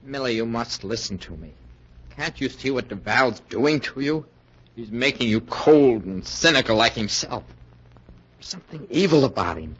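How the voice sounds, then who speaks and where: Earnest fiance, sharp husky 1940s radio. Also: male voice, medium pitch, expressive, normal speed. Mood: urgent pleading. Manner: intense, pleading. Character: earnest, lovesick, wronged fiance pleading and warning. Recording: dull vintage 1940s radio recording.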